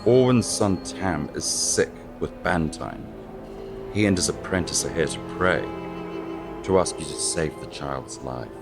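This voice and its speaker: A man acts out a grim god of death for a fantasy audio drama. His voice is very low yet moves widely in pitch. He speaks tersely, with cold needling, over loud music. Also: lively intonation, a little slow, heavily acted. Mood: cool, somber.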